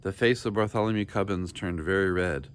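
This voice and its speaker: Low-pitched man reading a children's story. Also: a little fast, clear, resonant tone. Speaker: storybook reader. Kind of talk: expressive scripted children's story narration.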